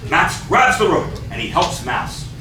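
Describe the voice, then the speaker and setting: A man's voice: on the high side, very animated, unhurried. A middle-aged man reading stage directions as scripted narration for a play. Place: small theatre stage, far camera. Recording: distant, very echoey, noisy theatre recording.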